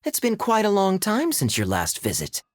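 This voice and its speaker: An actor playing a suave, teasing knight captain in a game cutscene, with a smooth, amused manner. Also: male voice, high voice, wildly swooping pitch, moderate pace, very noisy. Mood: wry.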